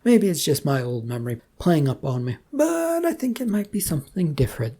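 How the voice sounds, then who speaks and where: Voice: mid-range voice, big pitch swings, brisk, warm tone, breathy edge, pitched above the performer's own voice. A storyteller voicing a chatty, cheerful old man who breezily claims to be a prophet in an audiobook. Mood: knowing. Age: older. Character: old George, slow cheerful eccentric self-proclaimed prophet.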